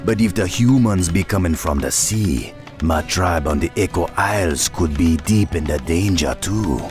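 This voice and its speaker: A man's voice: low-pitched, moderate pace, lightly acted. An actor playing a deferential, worried troll tribesman in a fantasy game, with a Caribbean accent. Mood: worried, respectful. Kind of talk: fantasy game character acting. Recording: music under the voice.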